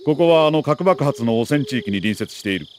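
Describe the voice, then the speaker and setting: An actor playing a middle-aged army major who briefs an agent in a crisp, authoritative, deliberate way in a video game. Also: male voice, mid-range voice, expressive, a little hiss or hum, close to an everyday voice. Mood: grave, commanding. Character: male military major, mission commander, precise and earnest. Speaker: mission commander briefing an agent.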